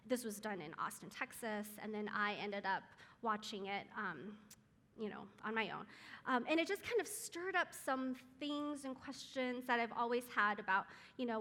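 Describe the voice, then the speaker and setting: A woman's voice: fairly high pitch, bright, forceful tone, long reverb tail. A woman whose first language is Chinese, talking casually to a church group in an earnest, hesitant way with many ums. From Taiwan or China, raised in Seattle area. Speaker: guest speaker at a church moms group. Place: church meeting room.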